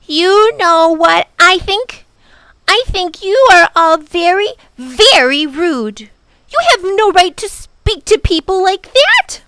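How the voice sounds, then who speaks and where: A woman's voice: sits high, animated, takes it slowly, bright, forceful tone, no room sound, pitched above the performer's own voice, full character voice. A woman voicing an upset, outspoken little girl in a children's story, protesting with prim, scolding emphasis. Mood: indignant.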